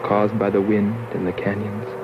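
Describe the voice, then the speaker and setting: An actor voicing a wistful explorer in an earnest, musing voice-over for a 1960s science fiction film. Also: male voice, lowish voice, little pitch movement, normal speed, lightly acted. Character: wistful male explorer narrating his longing for contact. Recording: muffled old film soundtrack, noisy background.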